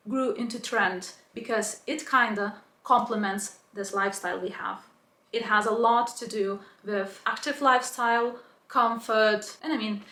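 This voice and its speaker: A woman's voice: medium pitch, bright, forceful tone, roomy sound. A woman talking in a chatty, opinionated way, as in a casual explanatory video essay. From Lithuania. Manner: chatty, opinionated, mild swearing.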